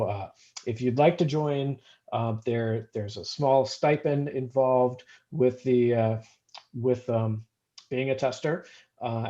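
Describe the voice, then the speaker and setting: A man explaining his work as a panelist, speaking haltingly and stumbling over words. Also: mid-range voice, dark tone. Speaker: fair housing investigator on a panel. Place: online video call. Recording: slightly dull video-call audio.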